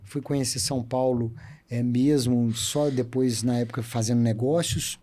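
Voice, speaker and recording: A man in his thirties with a Minas Gerais accent, a podcast guest telling his story in an animated, talkative way. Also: mid-range voice, moderate pace. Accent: Minas Gerais Portuguese. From Brazil. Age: 30s. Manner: chatty, animated storyteller who loves to talk. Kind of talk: casual storytelling interview.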